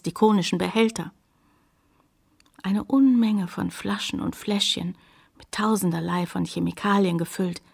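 Radio play wife: long-suffering sceptic, dry, reproachful, raspy medium-pitched voice with some fry. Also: female voice. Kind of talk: German radio play acting.

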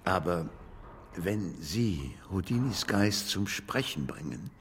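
Casting: low-pitched older spiritualist gentleman, earnest, formal, hesitant; radio play in a reverberant hall. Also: expressive, slow pace, slight background noise. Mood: earnest, grave. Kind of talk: German radio drama acting.

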